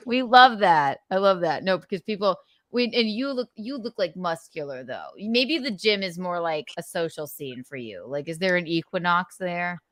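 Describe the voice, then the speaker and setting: A woman's voice: lowish voice, expressive, normal speed, hardly any pauses, no echo. Woman, podcast host, enthusiastic, chatty, laughing often, dry close sound. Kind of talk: casual interview chat.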